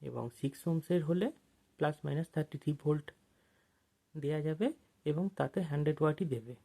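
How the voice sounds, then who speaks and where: A person narrating an informal technical tutorial as an instructor, explaining things step by step with pauses. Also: medium pitch, creaky, mellow.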